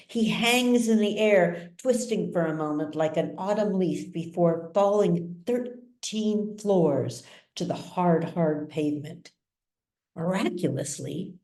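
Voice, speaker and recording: A woman narrating a literary story as its author, with measured, dramatic delivery. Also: on the low side, warm tone. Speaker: author reading own novel. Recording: roomy echo, distant mic.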